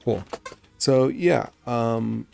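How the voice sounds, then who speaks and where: A young man, raspy from just getting over being sick, chats casually and thinks aloud while working on his game on a live stream. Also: mid-range voice, expressive, very slow, dark tone. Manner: laid-back, rambling, thinking aloud. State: raspy voice, just recovering from illness. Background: faint background music and keyboard typing.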